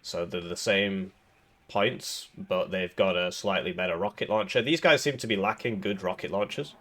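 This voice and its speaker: A man's voice: deep voice, moderate pace, a bit bright. A man with a cold, sounding a little throaty, giving casual rambling commentary over a strategy game with lots of fillers and restarts. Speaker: gaming video creator.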